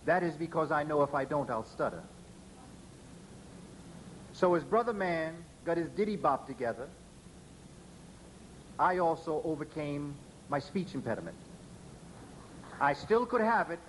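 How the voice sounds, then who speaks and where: Guy giving a lecture, kinda high voice for a man, slow careful pauses, leans hard on certain words. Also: expressive, slight background noise, low-quality recording. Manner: deliberate, with pauses and stressed words; spells words out letter by letter. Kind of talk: public lecture to an audience.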